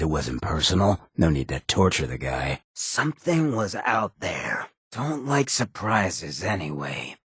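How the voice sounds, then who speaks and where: An actor playing a roguish, cynical charmer of a town mayor in a video game, with a raspy, gravelly voice and a cocky, slangy drawl. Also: male voice, deep voice, fairly bright tone, no echo, clean studio sound, heavily acted. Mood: sly, easygoing, then wary. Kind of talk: video game character dialogue.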